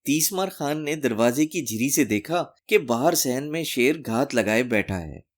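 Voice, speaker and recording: A man tells a children's folk tale from a script. His voice sits at a middling pitch and moves up and down in a very animated way. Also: measured pace. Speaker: storyteller.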